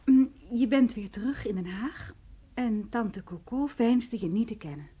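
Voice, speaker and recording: A woman playing an affectionate, wonderstruck lover in a Dutch radio play, with a breathy voice and a tender, exclaiming manner. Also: a little high, animated, unhurried, warm tone. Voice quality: very breathy, husky.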